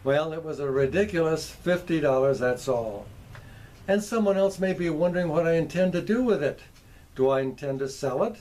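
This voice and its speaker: A man presenting a show-and-tell video in a folksy, deliberate way, with short careful phrases, theatrical exclamations and wry humour. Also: a little high, a little mellow. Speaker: presenter, vintage radio collector.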